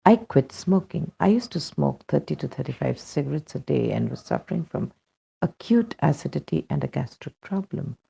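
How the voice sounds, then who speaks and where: Male narrator, Indian accent, for devotional testimonial reading: mellow but rough and gravelly, deliberate delivery, dull lo-fi sound. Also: a little high, big pitch swings. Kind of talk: scripted narration, reading a first-person testimonial aloud. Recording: dull, muffled lo-fi recording.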